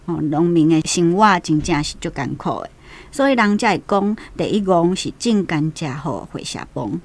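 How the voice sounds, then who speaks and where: Low-voiced Taiwanese-accented female commentator. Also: lively intonation, moderate pace. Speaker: guest commentator on a radio programme. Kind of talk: explanatory history commentary.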